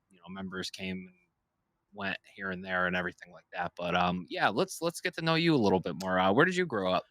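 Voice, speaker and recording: A man with a lowish voice hosts a relaxed podcast chat. His tone is forceful and tense, and the sound is close and dry. He talks loosely, swears freely and drifts into tangents. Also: no room sound. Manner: loose and chatty, swears, laughs, wanders off on tangents. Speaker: podcast host.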